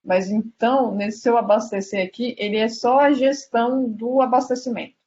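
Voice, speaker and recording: A woman's voice: a little high, steady tone, normal speed, a little mellow. Brazilian woman student on a dull call. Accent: Brazilian Portuguese. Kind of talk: casual live class discussion. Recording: dull online call, faint noise.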